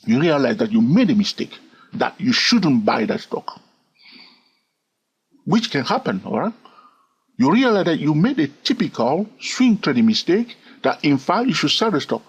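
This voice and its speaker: A man's voice: fairly high pitch, normal speed, slightly rough, dull audio. A man teaching a swing trading lesson over a screen recording, repeating his points and often checking in with "all right?". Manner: animated, repetitive, keeps asking "all right?". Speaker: trading instructor.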